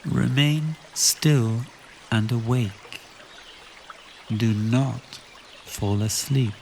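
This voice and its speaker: A man leading a guided relaxation meditation, giving calm instructions in short phrases with long pauses between them. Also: fairly low pitch, slight background noise.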